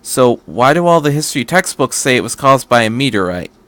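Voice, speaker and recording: A man's voice: mid-range voice, sing-song, a little fast, fairly bright tone, no room sound. A man voicing an anxious teenage boy pilot in a story, hesitant and incredulous as he questions. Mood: hesitant, puzzled.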